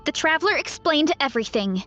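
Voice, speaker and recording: A woman's voice: sits high, animated, a little fast, a bit bright, lo-fi sound, faint music behind. A woman playing an earnest, level-headed young tribeswoman in a video game. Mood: earnest, resolute. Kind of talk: video game character acting.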